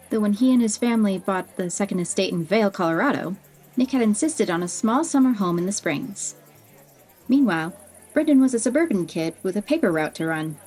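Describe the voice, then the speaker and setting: Breathy woman narrating a fantasy story. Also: medium pitch, a little mellow.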